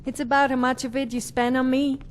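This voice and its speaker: Actress as cheeky, high-voiced female adventurer. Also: flat delivery, brisk, a little hiss or hum, natural voice. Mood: teasing. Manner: teasing, playful. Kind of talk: fantasy video game acting.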